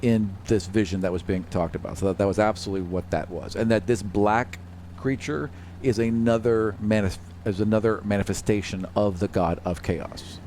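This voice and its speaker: A man's voice: fairly low pitch, steady tone, moderate pace, darkish tone. A man speaking as game master, narrating and ruling on a tabletop role-playing game in a conversational way. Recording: faint hum, slight background noise.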